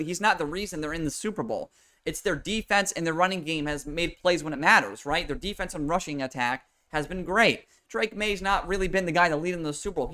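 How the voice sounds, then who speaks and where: A man's voice: fairly high pitch, sharp tone. A young man with a nasal voice, giving rambling, profane livestream commentary on a football game. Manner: rambling and profane, with many fillers and restarts. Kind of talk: casual livestream sports commentary. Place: home room with a fan. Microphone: desk microphone with noise suppression.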